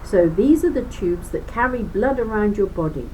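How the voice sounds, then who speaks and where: A woman's voice: medium pitch, animated, normal speed, mellow, slight background noise, rough recording. A woman reading a children's book aloud as a lesson, explaining as she goes with chatty asides and stressing key words.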